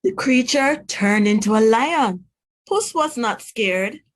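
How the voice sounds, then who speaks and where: A woman teacher reading a picture book aloud to young children. Her voice sits a bit high and moves slowly, with each short sentence spoken clearly. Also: expressive. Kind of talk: children's read-aloud narration.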